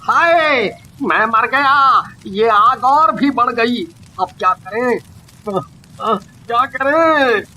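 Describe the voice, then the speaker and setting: A man's voice: very high voice, expressive, very slow, sharp tone, exaggerated voice. A man playing a frightened, pleading village merchant in a cartoon moral story, dramatic in delivery. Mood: panicked. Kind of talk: animated Hindi moral story acting. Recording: dull lo-fi audio.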